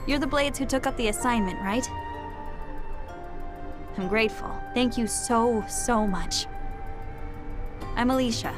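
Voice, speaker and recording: A woman's voice: a little high, a little slow, long pauses, close to an everyday voice. A woman playing an earnest, caring young female engineer in a video game cutscene, speaking expressively. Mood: exasperated, grateful. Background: music.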